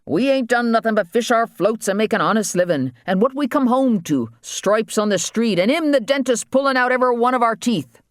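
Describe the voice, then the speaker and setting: A narrator acting out an angry island waterman, high-pitched, rustic. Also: animated, brisk, no echo, studio quality, natural voice. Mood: defiant. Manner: folksy dialect, agitated, defiant.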